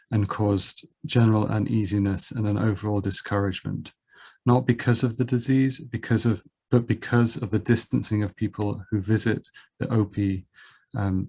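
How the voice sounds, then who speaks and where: A man's voice: fairly low pitch, a little mellow. A man reading a report aloud as part of a group reading on a video call.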